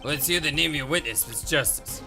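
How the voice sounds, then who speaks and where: A man voicing a stern older male judge in a courtroom game, speaking in a formal, measured way. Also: a little high, over music, natural voice.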